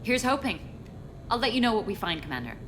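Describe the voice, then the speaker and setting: An actress playing an eager, anxious junior military officer in a video game, earnest and apologetic. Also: female voice, fairly high pitch, very animated, fast, background noise. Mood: hopeful. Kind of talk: cinematic video game dialogue.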